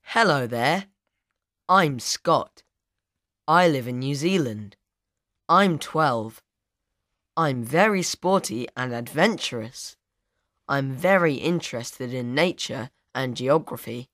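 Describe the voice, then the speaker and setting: An outdoorsy 12 yr old boy, bright voice, takes it slow. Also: medium pitch, lively intonation, no echo, clean studio sound. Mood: friendly. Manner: slow, clear, careful delivery. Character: adventurous twelve-year-old boy. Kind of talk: scripted coursebook audio. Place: recording studio.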